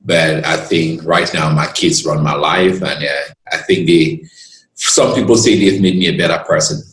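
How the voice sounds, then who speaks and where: A man from Cameroon, a lawyer and guest who tells his story in an earnest, expansive way and pauses to gather his words. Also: rumbling low, fairly bright tone, reverberant. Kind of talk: reflective storytelling in conversation.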